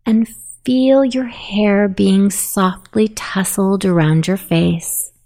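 A woman narrating a guided sleep meditation in a soothing, softly spoken, unhurried manner. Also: mid-range voice, fairly even intonation.

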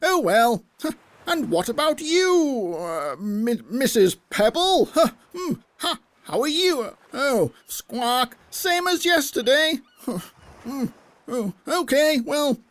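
A woman's voice: high voice, very animated, slow pace, heavily acted. A woman voicing a fussy, lonely male seagull who hesitates and squawks between phrases. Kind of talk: children's storybook acting.

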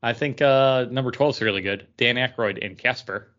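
A man's voice: mid-range voice, moderate pace, a bit bright. Guy podcast cohost, normal mid voice, casual chat, bit dull sounding mic. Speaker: podcast co-host. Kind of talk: casual chat, reading out a list.

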